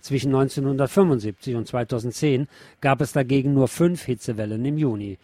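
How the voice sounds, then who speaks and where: A man's voice: medium pitch, a little fast, mellow, dry recording. A man reading scripted news and press reports as a radio presenter.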